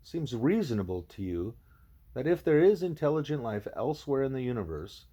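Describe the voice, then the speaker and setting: A man voicing a calm, wise male gorilla teacher from a storybook, speaking in measured, formal, patient phrases. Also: medium pitch, slightly rough, voice pushed up. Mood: patient, didactic.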